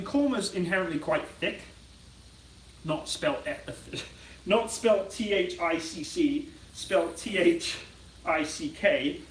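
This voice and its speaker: A man's voice: sits high, reverberant, distant mic, slight background noise, low-quality recording. A young British man hosting a casual live-stream, chatting and reading viewer comments aloud while he cooks in his home kitchen. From United Kingdom. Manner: chatty, reads out viewer comments and answers them. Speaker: channel host.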